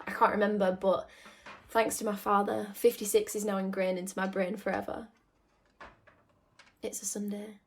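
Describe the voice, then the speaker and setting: A nostalgic young woman, played by an actress, confides her thoughts in a radio drama monologue. Her voice sits at an ordinary pitch. She sounds wistful and torn, pausing to sigh. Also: vocal fry, a little hiss or hum, lightly acted.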